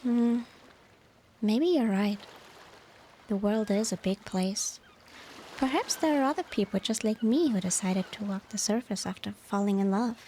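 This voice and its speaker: A woman playing a tender, nostalgic former mermaid and devoted wife in a soft, unhurried, half-whispered ASMR roleplay. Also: mid-range voice, a little hiss or hum, close to an everyday voice. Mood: wistful, homesick. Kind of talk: ASMR romantic roleplay, softly spoken.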